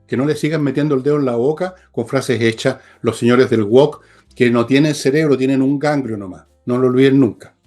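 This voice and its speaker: Chilean guy doing political commentary, blunt and scornful, swears a bit, medium pitch, faint music behind. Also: brisk, mellow. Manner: emphatic, scornful, blunt with coarse slang. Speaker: political commentator and show host.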